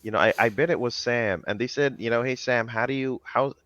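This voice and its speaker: A man's voice: medium pitch, fairly quick, fairly bright tone. A man hosts a podcast from a home studio, talking animatedly and rambling, often repeating words. His headset sound is dry and a little dull, with no room echo. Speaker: podcast host. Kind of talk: casual interview chat.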